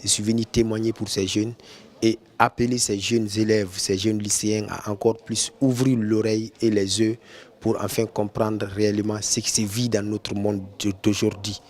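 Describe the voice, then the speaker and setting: A man giving an earnest, solemn testimony as a migrant, speaking slowly and pleading with students. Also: fairly low pitch, darkish tone. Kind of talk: earnest interview statement.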